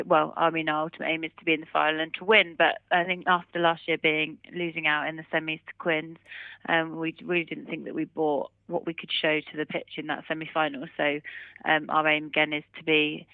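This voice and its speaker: A woman's voice: low voice, dry recording. A woman chatting casually as a rugby player being interviewed over the phone, giving loose, run-on answers. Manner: hedging, loose run-on answers with "kind of" and "you know". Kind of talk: casual post-match interview chat. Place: phone call. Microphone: telephone. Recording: muffled narrowband phone line.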